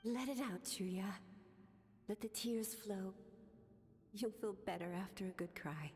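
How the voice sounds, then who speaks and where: A woman voicing a gentle, soothing mother comforting her son in a game. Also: mid-range voice, a little slow, long reverb tail, soft background music, lightly acted.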